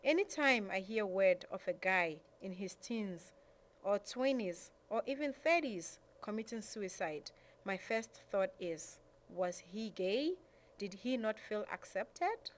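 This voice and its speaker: A woman's voice: medium pitch, expressive, slow pace. Woman reading aloud over background noise. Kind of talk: reading a prepared statement aloud. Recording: clear background noise and room ambience.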